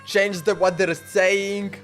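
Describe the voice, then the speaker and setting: High-voiced man livestreaming a game. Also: flat delivery, fairly bright tone. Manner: halting, repeats words. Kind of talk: casual live commentary while gaming. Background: faint game music.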